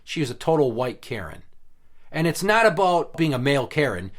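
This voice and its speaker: A man's voice: on the high side, sing-song, normal speed. A man hosting a podcast in casual chat, talking bluntly and heatedly with plenty of swearing. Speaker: podcast host.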